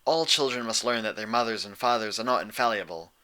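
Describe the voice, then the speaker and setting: A man voicing a regal, patient elder-sister pony princess with measured, formal, guarded speech in a storybook reading. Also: lowish voice, bright, forceful tone. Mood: reflective. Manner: measured, formal, reflective phrasing.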